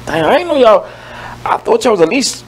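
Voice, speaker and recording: A man's voice: high voice, sing-song, fairly quick, a little hiss or hum, poor audio. A man hosting a talk radio show, asking curious, teasing questions in a laid-back way. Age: older than 26. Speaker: host.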